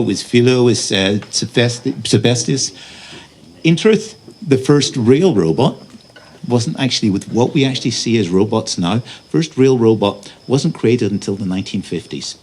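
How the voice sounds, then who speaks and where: A man giving a public lecture as an invited speaker, presenting slides in a halting, pausing delivery. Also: fairly low pitch, darkish tone. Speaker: invited conference speaker, robotics researcher. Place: live talk at a festival venue. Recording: noisy live event recording.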